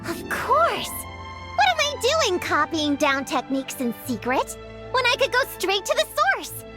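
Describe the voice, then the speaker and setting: Excitable, high-pitched scheming young witch girl. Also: unhurried, over music, exaggerated voice. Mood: eager. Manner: flustered, dramatic, boastful. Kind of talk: animated video game voice acting.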